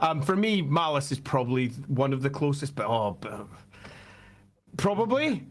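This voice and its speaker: A man with a slightly croaky, hoarse voice, talking casually and emphatically as a host explaining fan topics. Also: mid-range voice, sing-song, moderate pace.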